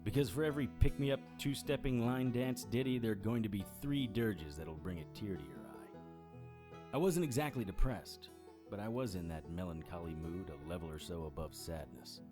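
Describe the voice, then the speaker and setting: A man's voice: on the low side, moderate pace, over music, close to an everyday voice. An actor playing a wry, world-weary private investigator who narrates his own story in a dry, sardonic way. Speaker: narrator and lead. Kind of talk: hardboiled audio drama narration.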